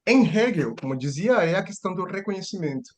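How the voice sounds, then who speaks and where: A man's voice: high-pitched, lively intonation, brisk. A man giving a seminar lecture, thinking aloud with restarts and rhetorical questions.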